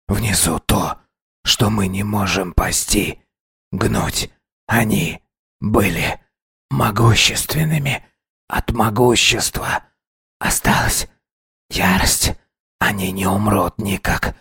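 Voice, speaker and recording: A man voicing a dying, possessed man in a horror story, slow and halting, with a weak, husky, gasping voice. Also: lowish voice, pushed and bright, no room sound, clean studio sound, heavily acted. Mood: ominous whisper. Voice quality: husky, gravelly, whispered in places. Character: dying mutilated man, later a possessed speaking corpse.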